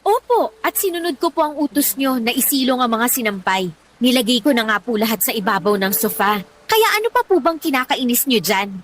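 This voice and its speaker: A woman's voice: fairly high pitch, lively intonation, a little fast, slight background noise, natural voice. A woman playing a defiant, lazy young Filipino girlfriend in a radio drama, arguing back in a whiny manner. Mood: defiant, irritated. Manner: whiny, argumentative.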